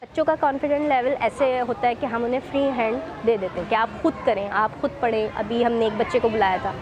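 A woman's voice: fairly high pitch, steady tone, lo-fi sound. A young woman volunteer talking conversationally in an outdoor interview among schoolchildren. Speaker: volunteer with children. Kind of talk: interview explanation. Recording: noisy outdoor crowd of children. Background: children's chatter.

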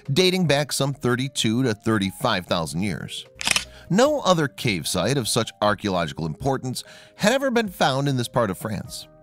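Man narrating, lively pitch, soft music. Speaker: documentary narrator. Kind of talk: scripted documentary narration. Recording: voice-over with faint music behind.

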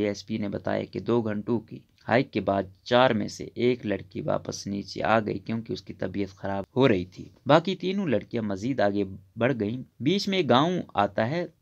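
A man reading a scripted news report as a voice-over in a formal, measured way, with an Urdu accent. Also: fairly low pitch, vocal fry, dull audio. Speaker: news narrator.

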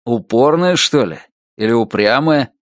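A man's voice: mid-range voice, animated, a little slow, no room sound, studio quality, lightly acted. Man doing a wry, joking husband. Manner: wry, bantering. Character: easygoing husband, joking and relaxed.

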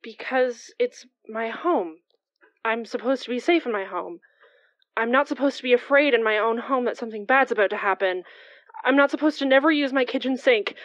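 A woman's voice: sits high, no room sound, close to an everyday voice. An actress playing an emotionally open, defensive young woman neighbor in an audio drama, in a conversational style. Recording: dry, slightly dull close recording.

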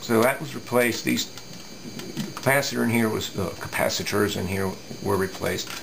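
A middle-aged man giving a casual hands-on technical explanation, thinking aloud haltingly with folksy asides. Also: fairly low pitch, vocal fry, noisy background. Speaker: radio repair hobbyist presenting. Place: indoor electronics workbench.

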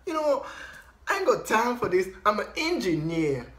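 Young man doing a loud, defiant boyfriend breaking up by phone, high-pitched, echoey room. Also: very animated, moderate pace. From Cameroon. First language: Bafang. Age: young adult. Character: brash young man dumping his girlfriend by phone. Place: small room indoors. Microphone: camera at desk distance.

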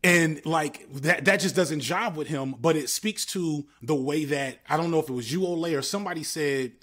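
A man's voice: a little high, normal speed, fairly bright tone. A man in his thirties on a headset video call, thoughtful and rambling with many fillers. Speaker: panel guest. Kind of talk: casual political chat. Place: home room, green wall. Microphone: headset, laptop video call.

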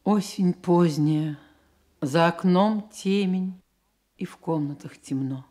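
A woman narrating a radio drama in a measured, literary storytelling manner. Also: fairly low pitch, dark tone.

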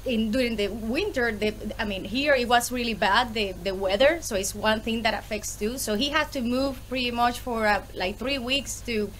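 Lively Puerto Rican woman on a video call. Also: a little high, moderate pace, hardly any pauses, a little hiss or hum, low-quality recording. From Puerto Rico. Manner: lively, laughs often, many fillers. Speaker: guest, small business owner. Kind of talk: casual interview on a video call. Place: home room with bookshelves.

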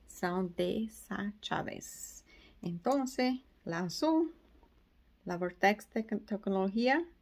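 A woman's voice: mid-range voice, darkish tone. A woman in her forties whose first language is Portuguese, chatting casually as an esthetician and dropping English words in as she explains. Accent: Brazilian Portuguese. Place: small skincare treatment room indoors. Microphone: phone camera at arm's length.

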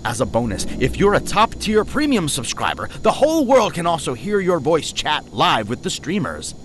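An actor playing a slick, gleefully morbid announcer in an audio drama, with a theatrical, hype-building delivery. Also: male voice, a little high, wildly swooping pitch, moderate pace, a little hiss or hum. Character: slick, gleefully morbid male livestream announcer of a doomed world. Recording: loud music under voice.

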